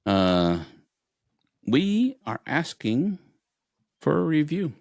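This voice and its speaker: A man's voice: fairly high pitch, very animated, very slow, creaky, a little mellow, dry recording, clean studio sound. A man hosting a podcast, chatting casually and making announcements with playful mock formality. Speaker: podcast host.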